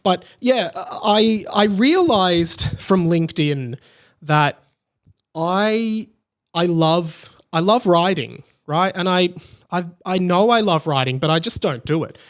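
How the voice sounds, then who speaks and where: Higher-voiced Australian man hosting a podcast. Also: animated, takes it slowly, fairly bright tone. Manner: rambling, tangent-prone, self-interrupting. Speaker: podcast host. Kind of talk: casual solo podcast chat.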